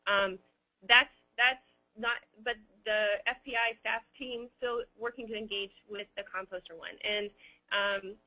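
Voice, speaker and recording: A man answering audience questions as a webinar presenter, unscripted, hesitant and halting as he picks his words with care. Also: sits high, steady tone, sharp tone, rough recording.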